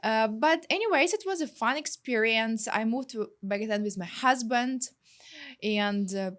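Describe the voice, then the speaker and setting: A woman, a guest in a studio interview, chatting quickly and filling pauses with "like" and "you know". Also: a little high, a bit bright. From Ukraine.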